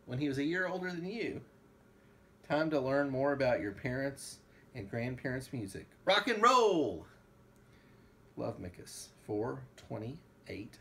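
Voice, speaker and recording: A man's voice: mid-range voice, bright, forceful tone, a little hiss or hum. A man, a father, reading a book aloud to a child in a casual, playful way with asides. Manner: playful, breaks into song and asides. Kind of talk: casual read-along of a picture book with sung snippets.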